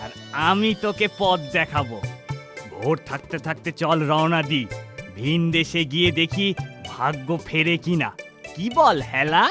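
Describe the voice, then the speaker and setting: High-voiced grumbling young hunchback. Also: male voice, animated, measured pace, music in the background. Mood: friendly. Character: hunchbacked outcast young man, grumbling yet friendly.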